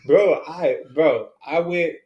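A man's voice: a little high, slow pace, highs cut off, background noise. A man in his thirties, co-hosting a casual podcast chat, talking in a laid-back, slangy way and laughing often. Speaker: podcast co-host. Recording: echoey room.

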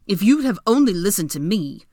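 A woman's voice: medium pitch, big pitch swings, moderate pace, mellow, no room sound, clean studio sound, pitched above the performer's own voice. A woman voicing a haughty, irritable young wizard man in an audiobook, snapping and grumbling with a sneer. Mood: indignant. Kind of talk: dramatic audiobook character dialogue.

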